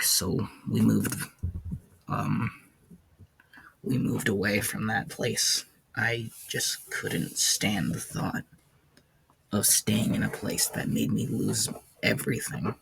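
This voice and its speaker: Man acting haunted old-world warden, somber audio log monologue, low flat voice, gravelly, hesitant, weary. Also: no room sound, exaggerated voice, low-quality recording. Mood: weary, grim. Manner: halting, somber, hesitant delivery. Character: weary, haunted ancient warden recounting his losses.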